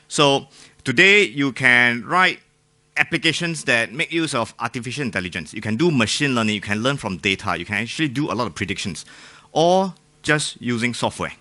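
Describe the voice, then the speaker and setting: Male conference speaker, Singaporean accent, bright forceful voice, mid pitch, informal and jokey, works the audience with questions. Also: expressive, fairly quick. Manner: joking, informal, often asks the audience questions. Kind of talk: technical talk to a live audience.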